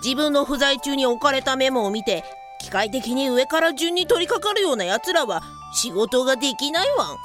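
A woman's voice: high voice, expressive, normal speed, music in the background. A woman voicing a snide, scornful talking dog in a comedic radio drama, with a haughty, scolding manner.

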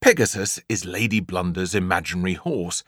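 A man's voice: deep voice, normal speed, fairly bright tone, slightly rough, no echo, studio quality. A man with an English accent narrating a comic children's story in a theatrical, emphatic way, drawing out key words. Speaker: audiobook narrator.

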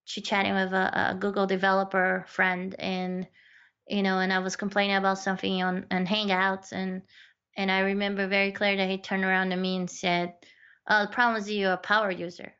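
A woman's voice: mid-range voice, monotone, fairly quick, a bit bright, clear, resonant tone. Woman chatting away with lots of ums and restarts, kinda dull lo-fi audio. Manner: chatty, lots of fillers and restarts, tells anecdotes. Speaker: accessibility researcher and guest. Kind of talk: casual conversation.

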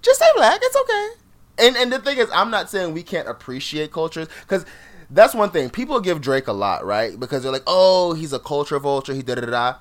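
A man's voice: on the high side, big pitch swings, a little fast. A man from Jamaica co-hosting a podcast, chatting casually in an emphatic way and laughing often. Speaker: podcast co-host. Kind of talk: casual chat.

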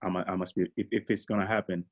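Low-voiced man talking things over. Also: quick pace, lo-fi sound. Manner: relaxed, reflective, many fillers. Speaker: guest. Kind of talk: casual interview.

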